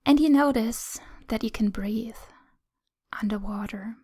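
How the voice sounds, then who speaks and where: A woman guides a meditation in a soft, whispery voice that is breathy and fairly high for a woman. She speaks very slowly in short phrases, leaving long pauses between them. Her delivery is gentle and soothing.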